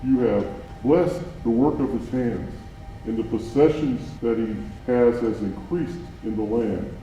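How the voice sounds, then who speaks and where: Guy acting as a solemn commanding spirit in a bible play, dark voice, super echoey and far off. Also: mid-range voice, a little slow, gravelly. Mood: challenging. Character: God and Satan in a dramatized Bible story, solemn and commanding. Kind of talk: church class Bible drama, recited scripture. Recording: very echoey, distant, faint music behind.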